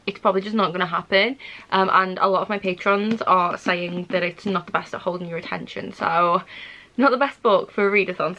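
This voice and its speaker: A woman's voice: medium pitch, pushed and bright. A woman, chatting casually for a book vlog and thinking aloud in an indecisive, rambling way. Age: 20s. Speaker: book vlogger. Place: small furnished room at home.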